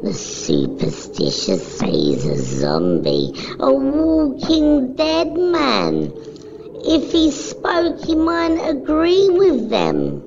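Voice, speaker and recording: A woman giving a solemn, measured, scripted dramatic narration of a story. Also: high voice, wildly swooping pitch, gravelly, a little mellow, a little hiss or hum. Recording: dull recording, music behind.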